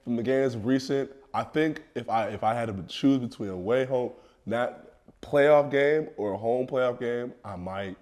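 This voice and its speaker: A man's voice: medium pitch, moderate pace, darkish tone. A young man, a college football player, talking in casual chat, hesitant and often repeating and restarting his phrases. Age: young adult.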